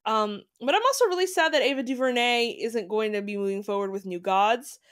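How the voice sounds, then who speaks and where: Woman podcast co-host, slightly high voice, loose and chatty with asides. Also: animated, fairly quick, a bit bright. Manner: chatty, frequent fillers and asides. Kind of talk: casual chat.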